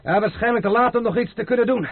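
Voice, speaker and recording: An actor playing a bold gentleman adventurer in a radio drama, speaking in a brisk, commanding, urgent way. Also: very high-pitched. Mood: wry, alert. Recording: muffled old radio play recording.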